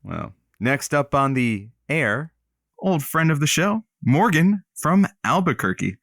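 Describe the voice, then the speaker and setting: Deadpan radio co-host, dry sound man acting. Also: fairly high pitch, slow, creaky, dry recording, heavily acted. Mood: announcing. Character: offbeat radio co-host introducing callers. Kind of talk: improvised comedy radio banter.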